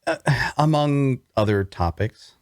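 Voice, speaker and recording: A man's voice: mid-range voice, expressive, unhurried, a little mellow, no room sound, clean studio sound, lightly acted. A man playing a wounded, bookish prisoner in an audio drama table read, wary and inquisitive, with a hesitant, questioning delivery. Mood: guarded.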